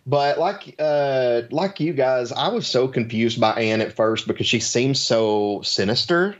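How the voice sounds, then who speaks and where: A man's voice: medium pitch, expressive, a little slow. A man giving his take as a panelist in a casual film chat, informal and a little hesitant, on a lo-fi line. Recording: dull lo-fi voice line.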